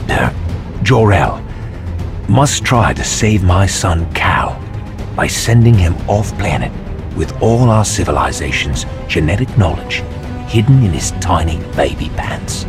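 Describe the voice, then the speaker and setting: A man's voice: deep voice, heavily acted. A man playing a grand, self-important but bumbling alien scientist father, narrating his epic with comic asides in a video game. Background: music.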